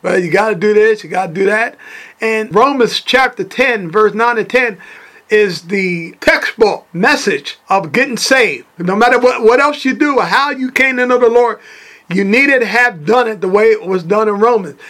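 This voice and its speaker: Man preaching, very high voice, bright tone, fervent, repetitive. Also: normal speed. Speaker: preacher and podcast host. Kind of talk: sermon.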